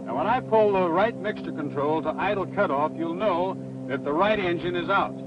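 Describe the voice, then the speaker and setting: Guy acting as calm male flight instructor in an old 60s training film, highish voice, methodical, dull sound, music loud behind. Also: moderate pace, close to an everyday voice. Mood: instructive.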